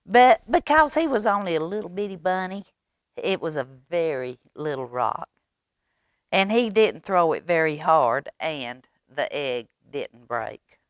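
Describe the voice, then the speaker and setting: A woman tells a children's story in a slightly low voice at a very leisurely pace. She reads expressively, with dramatic pauses and coaxing questions for young listeners. The sound is close and dry. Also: dry recording. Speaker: library storytime reader. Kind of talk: children's read-aloud storytelling.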